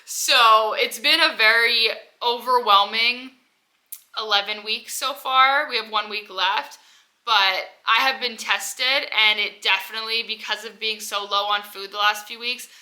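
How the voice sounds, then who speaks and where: A young woman in her twenties chats to camera in a loose, rambling vlog, her talk full of "like". Her voice is very bright and sharp, and she sounds stuffy from a head cold. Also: fairly high pitch, steady tone, a little slow. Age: 20s. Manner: rambling, heavy use of "like". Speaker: vlogger. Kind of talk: casual personal vlog.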